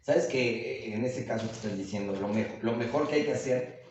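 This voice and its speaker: A man's voice: medium pitch, fairly quick, a little mellow. A man with a Mexican accent telling anecdotes in casual, slangy chat among friends. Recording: very echoey, distant, dull, slightly noisy.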